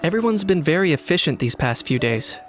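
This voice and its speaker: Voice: medium pitch, very animated, brisk, soft background music, lightly acted. A performer voicing a blunt, businesslike character in a video game, terse and dry. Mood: matter-of-fact.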